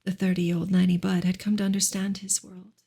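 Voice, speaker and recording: Female ASMR narrator for a literary audiobook: very breathy, gentle, near-whispered read, restrained intonation. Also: lowish voice, little pitch movement, fairly quick. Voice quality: breathy, often slipping into whisper. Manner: gentle, hushed delivery. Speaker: narrator reading a novel aloud. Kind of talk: soft-spoken ASMR audiobook reading.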